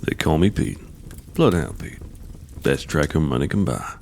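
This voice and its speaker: Gruff old male tracker, audio drama: rock-bottom pitch, gravel, lively swings, folksy and wry. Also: normal speed, heavy background noise, heavily acted. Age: older. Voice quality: deep, gravelly.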